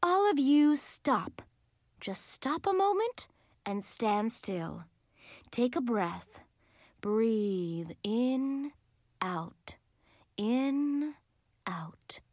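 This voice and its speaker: A woman narrator plays a dreamy, earnest hippie woman who guides children in an audiobook. She speaks in slow, deliberate phrases with a soothing manner, and her pitch moves around freely in a close, dry recording. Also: big pitch swings, no room sound. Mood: firmly calming.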